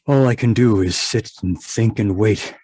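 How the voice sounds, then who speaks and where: A man's voice: lowish voice, measured pace, a little mellow, breathy edge, no room sound. An actor playing a guilt-ridden, domineering male chemist in an old-time radio horror play, swinging between haunted muttering and clipped, imperious speech. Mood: haunted, brooding.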